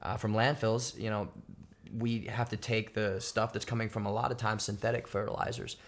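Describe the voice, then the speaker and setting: Low gravelly man, methodical. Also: level tone, normal speed. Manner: methodical, lists points with many fillers. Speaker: guest, company founder. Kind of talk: interview answers.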